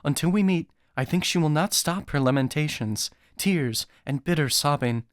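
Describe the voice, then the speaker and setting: A man voicing an earnest young prince, firm, calm and formal, in an epic audiobook. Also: fairly high pitch, normal speed, dry recording, studio quality, natural voice. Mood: impatient, burdened.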